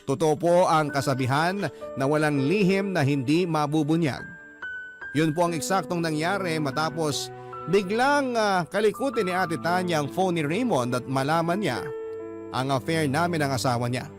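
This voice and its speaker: A man's voice: on the high side, moderate pace. A man reading a scripted first-person letter as the narrator of a radio drama. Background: clear background music.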